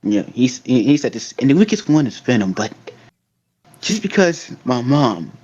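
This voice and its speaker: A man's voice: medium pitch, sing-song, moderate pace, darkish tone, breathy edge. A man casually telling a made-up story, hesitant and restarting often. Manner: hesitant, lots of fillers and restarts. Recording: dull lo-fi recording.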